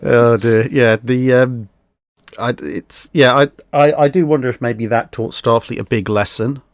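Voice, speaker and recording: A man's voice: medium pitch, a little slow, no echo. A man chatting casually and analytically, rambling a little as he thinks aloud. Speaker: discussion guest.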